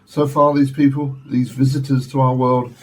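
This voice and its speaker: A man playing an academic scientist in a radio drama, arguing in a formal, measured and earnest way. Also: medium pitch, little pitch movement, slightly rough, natural voice, poor audio. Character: measured Oxford scientist defending alien visitors. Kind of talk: radio play acting as studio discussion.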